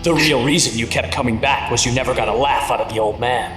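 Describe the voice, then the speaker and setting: A man's voice: mid-range voice, fast talker, fairly bright tone, close to an everyday voice. A man playing a cocky young masked superhero who talks in a jeering way in an audio drama. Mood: mocking. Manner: mocking, jeering. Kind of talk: audio drama hero acting. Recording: echoey cavernous reverb, music underneath.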